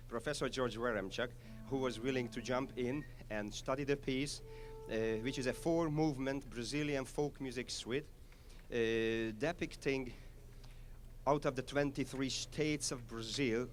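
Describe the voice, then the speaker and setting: A man's voice: medium pitch, a little hiss or hum. Hungarian-accented man introducing a concert piece. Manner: halting, with frequent "uh" fillers, enthusiastic. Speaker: orchestra conductor.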